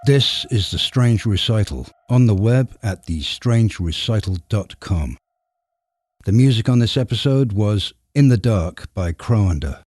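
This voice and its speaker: A man's voice: fairly low pitch, expressive, unhurried, a little mellow. A man hosting a podcast, speaking in a conversational literary interview style. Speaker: podcast host.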